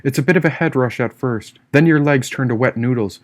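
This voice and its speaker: A man's voice: medium pitch, a little fast, lightly acted. A man voicing a free-spirited, teasing young woman in an audiobook, with a breezy, irreverent manner. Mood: easygoing, musing.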